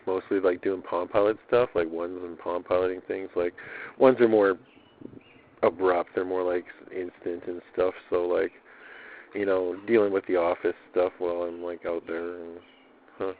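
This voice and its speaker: Low-pitched man, casual audio diary, slangy and rambling, repetitive phrasing, narrow muffled lo-fi sound. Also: steady tone, normal speed, slight background noise. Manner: loose, slangy, swearing, repeats himself. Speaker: personal audio diarist.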